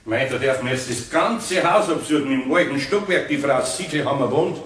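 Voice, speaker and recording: A man's voice: mid-range voice, expressive, normal speed, a bit bright, natural voice. A man playing a good-natured, grumbling older master craftsman in a radio play, speaking in a folksy, muttering way with a Bavarian accent. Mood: folksy, weary. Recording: noisy old cassette radio play.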